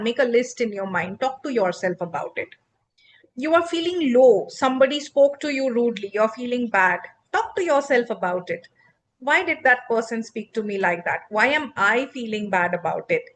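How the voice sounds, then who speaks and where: Woman, non-native English speaker, podcast host, teacherly, expressive, high voice. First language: not English. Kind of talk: instructive podcast conversation.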